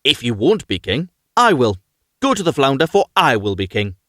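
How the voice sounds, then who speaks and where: A man's voice: mid-range voice, very animated, moderate pace, pushed and bright, no echo, clean studio sound. A man voicing a bossy, greedy wife in a storybook tale, demanding and commanding. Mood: imperious.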